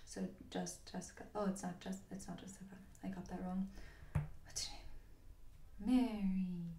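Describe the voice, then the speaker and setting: A woman playing a grumpy, blunt HR employee in a soft-spoken ASMR roleplay, deadpan and offhand, with hesitant, grumbling phrasing. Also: mid-range voice, unhurried, roomy sound, far from the mic, a little hiss or hum, natural voice. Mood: bored, businesslike. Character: grumpy, blunt female HR employee doing new-hire paperwork.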